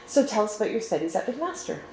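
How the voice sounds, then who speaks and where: A woman's voice: mid-range voice, big pitch swings, fast, darkish tone, reverberant, slight background noise. A woman interviewing, asking short questions and giving brief encouraging reactions. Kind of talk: oral history interview.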